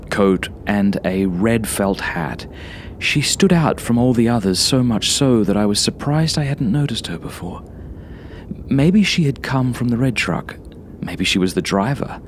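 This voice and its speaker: A man with a fairly low voice narrates a first-person horror tale. His reading is dramatic and suspenseful, sometimes dropping into hushed, breathy asides. There is noticeable noise behind the voice. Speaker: story narrator.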